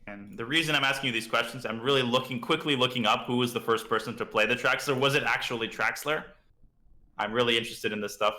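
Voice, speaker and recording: A man's voice: mid-range voice, fast talker, bright, forceful tone, echoey room. A man with a slightly parched throat, chatting casually as he teaches chess on a live stream and thinks aloud about moves.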